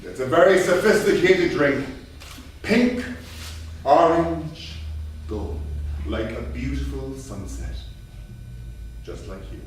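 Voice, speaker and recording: A man acts out a flirtatious, patronising charmer. He talks slowly and coaxingly, with a lot of swing in his pitch. The reading is distant and very echoey, as in a theatre. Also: animated. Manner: suave, coaxing, drawn-out. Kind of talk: staged script reading. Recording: echoey theatre, distant mic, faint noise.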